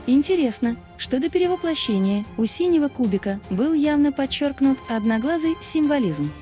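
A woman's voice: high voice, lively intonation, moderate pace, mellow. A woman reading scripted, documentary-style narration. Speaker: video narrator. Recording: music behind the voice. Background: background music.

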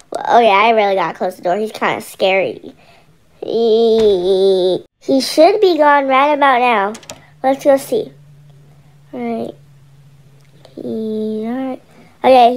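Slow-talking nervous boy, voiced by a youngster. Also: medium pitch, close to an everyday voice. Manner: talks to himself, casual youthful slang. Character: scared young boy trapped in nightmares. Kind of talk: amateur voice-over for an animated horror roleplay.

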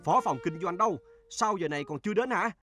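Loud, angry company boss acted by a man. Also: high voice, expressive, fast, over music. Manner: loud, demanding, brusque. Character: angry, impatient company chairman scolding his staff. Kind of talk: comedy cartoon acting.

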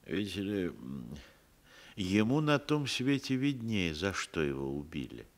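Wily mafia boss, low and expressive. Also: male voice, exaggerated voice. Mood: guarded, sardonic. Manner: deliberate, evasive, sardonic. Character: wily, haughty male Sicilian mafia boss under interrogation. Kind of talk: 1960s Soviet radio drama acting.

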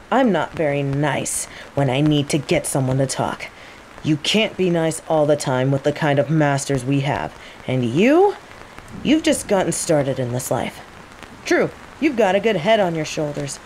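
A woman's voice: fairly low pitch, natural voice, poor audio. A woman playing a teasing rival mage who interrogates a captive with casual, coaxing menace in an audio roleplay. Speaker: voice actor in scripted audio roleplay. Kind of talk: intimate scripted audio roleplay acting. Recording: steady rain noise behind the voice. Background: rain.

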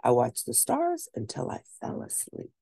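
A woman with a low, husky voice reads a children's story aloud. Her pitch swings very widely as she narrates, and she lingers on key words with deliberate expression. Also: wildly swooping pitch, warm tone, dry recording, studio quality. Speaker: storyteller reading a children's book.

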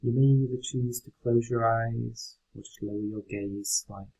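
A man leading a guided meditation in a gentle, unhurried, instructional way. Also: medium pitch, fairly even intonation, vocal fry, rough recording.

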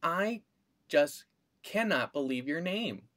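A man's voice: fairly high pitch, lively intonation, takes it slowly, fairly bright tone, pitched above the performer's own voice. Man voicing a snooty little schoolgirl mouse.

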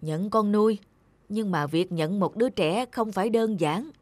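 A woman voicing a gentle older wife in a cartoon, soft-hearted, wistful and a little plaintive. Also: medium pitch, moderate pace, dry recording, natural voice. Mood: thoughtful. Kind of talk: animated cartoon dubbing.

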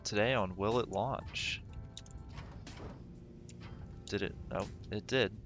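A man streaming a game, rambling casually and thinking aloud with lots of fillers, his voice going raspy. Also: lowish voice, slow, a bit bright, faint music behind. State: voice getting raspy. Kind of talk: casual gameplay livestream commentary.

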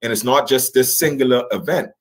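A man's voice: mid-range voice, a little fast, breathy edge. A man in his thirties with a Bahamian accent, explaining emphatically as an interview guest and checking in with rhetorical questions. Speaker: guest historian. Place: indoors, in front of a draped backdrop, on a video call. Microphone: video call.

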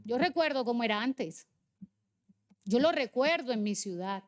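A woman's voice: on the high side, expressive, moderate pace. A woman in her forties with a Barranquilla Caribbean Colombian accent, delivering an insistent, emphatic political debate speech as a legislator. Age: 40s.

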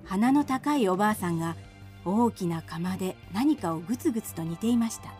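A woman's voice: mid-range voice, expressive, moderate pace, darkish tone, soft background music. A woman narrator reading a scripted storybook tale for a radio drama.

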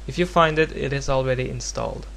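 A man's voice: mid-range voice, steady tone, fast talker, a bit bright. Norwegian-accented man, hesitant, noisy home recording. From Norway. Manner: hesitant, rambling, self-deprecating. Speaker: tutorial presenter. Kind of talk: casual, unscripted screen-recorded software tutorial. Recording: home recording with clear background noise and hum.

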